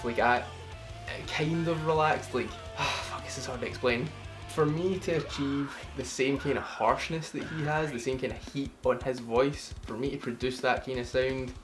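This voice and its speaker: Rambling, swearing male singer over music. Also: mid-range voice. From United Kingdom. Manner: rambling, swears freely, self-deprecating. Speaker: singer explaining his cover. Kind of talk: casual vocal breakdown. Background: song playing underneath.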